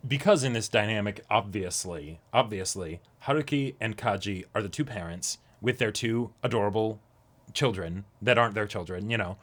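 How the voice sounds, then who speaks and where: A young man chatting casually as a show co-host in an animated, agreeable, loosely rambling way. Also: fairly low pitch, normal speed. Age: young adult. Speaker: co-host of an anime reaction show. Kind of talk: casual chat. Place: small indoor room, seated before a backdrop.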